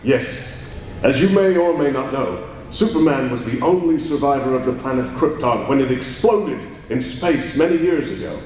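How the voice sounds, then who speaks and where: A man acts an earnest reporter hero of a radio play, confiding as he tells his tale. His pitch moves animatedly. He is far from the mic in a hugely echoey hall. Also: lively intonation, moderate pace, a little mellow, natural voice. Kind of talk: 1940s-style radio acting. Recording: distant, echoey hall, faint noise.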